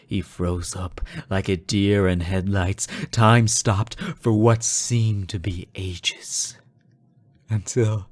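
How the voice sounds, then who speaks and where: A man playing an obsessive, lovesick fallen angel in a dramatic audio roleplay, theatrical and halting, bitter and unhinged. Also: lowish voice. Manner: theatrical, halting, sighing, swinging from hushed to frantic. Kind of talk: dramatic audio roleplay monologue addressed to a lover.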